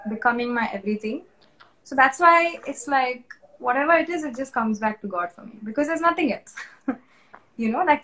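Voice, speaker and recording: A woman's voice: a little high, low-quality recording. A young woman with an Indian English accent, an interview guest telling her personal testimony in an earnest, emotional and candid way. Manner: earnest, emotional, candid, with restarts and soft laughs.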